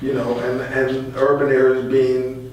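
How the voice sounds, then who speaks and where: Halting man explaining in an echoey room. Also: mid-range voice, monotone. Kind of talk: explanatory conversational talk. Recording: distant, very echoey room, faint noise.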